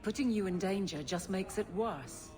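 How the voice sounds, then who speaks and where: A woman's voice: mid-range voice, normal speed, lightly acted. A woman voicing a stern, blunt female guard captain who is awkward about romance. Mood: flustered. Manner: blunt, self-critical. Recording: game cutscene with music behind.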